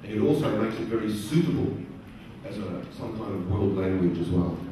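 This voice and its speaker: A middle-aged man speaking to a live audience at a career event, using slow, simple, repeated phrasing and asking the crowd questions. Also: fairly low pitch. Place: large conference hall. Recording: very echoey hall, distant voice, faint noise.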